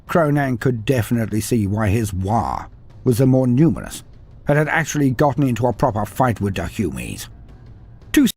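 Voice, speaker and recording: Husky man narrating with lively pitch. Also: normal speed. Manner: dramatic, expressive storytelling. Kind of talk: scripted story narration.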